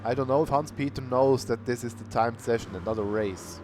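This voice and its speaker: A man commentating an online sim racing qualifying session in a plain, unhurried way. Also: mid-range voice. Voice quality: calls himself monotonous. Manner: unhurried, plain-spoken, bursts of cheering. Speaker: race commentator. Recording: noisy stream audio.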